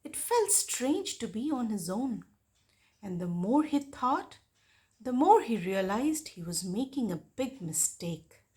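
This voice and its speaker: A girl of about ten reading a picture book aloud in a deliberate, expressive storytelling manner. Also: on the high side. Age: child, about 10.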